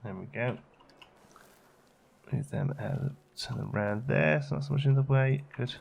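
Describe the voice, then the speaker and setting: A man giving casual, low-key gameplay commentary as a video host, thinking aloud and muttering to himself as he works. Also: measured pace, gravelly, darkish tone, slightly rough. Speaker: let's play video host.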